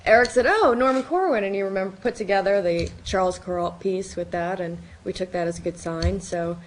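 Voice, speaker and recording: A woman's voice: medium pitch, a little fast. A woman from Chicago giving informal, anecdote-filled answers at a panel discussion. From Chicago, United States. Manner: conversational, quotes people in anecdotes. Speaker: documentary producer. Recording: live event with faint noise.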